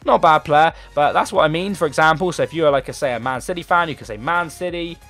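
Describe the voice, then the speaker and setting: A man's voice: on the high side, fast talker, a bit bright. A young man with a sore throat, chatting casually about football players for his gaming channel. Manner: chatty, rambling, enthusiastic. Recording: faint background music.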